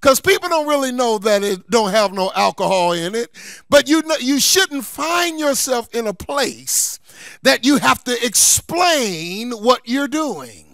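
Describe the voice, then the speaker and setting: High-pitched, animated male preacher. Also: measured pace, no room sound, studio quality. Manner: emphatic, repeats key phrases for stress; colloquial, folksy asides between scripture points. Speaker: pastor. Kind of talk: sermon.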